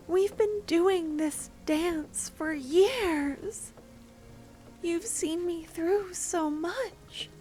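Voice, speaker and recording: Woman as a jealous, clingy shopkeeper for an ASMR roleplay: high, slow, soft-spoken, faint music behind. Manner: soft-spoken, sweetly teasing, turning possessive. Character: possessive female merchant, jealous yandere.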